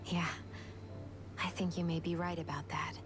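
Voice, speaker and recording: An actress playing a guarded young woman opening up with earnest resolve, in soft, measured dramatic video game style. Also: lowish voice, flat delivery, a little hiss or hum, close to an everyday voice. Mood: gentle. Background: game music.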